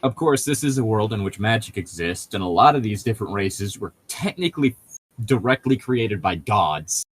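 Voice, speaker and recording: Guy with a kinda low voice, nerdy podcast chat, explains stuff and trips over his sentences. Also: lively intonation, moderate pace, a little hiss or hum. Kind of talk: casual chat.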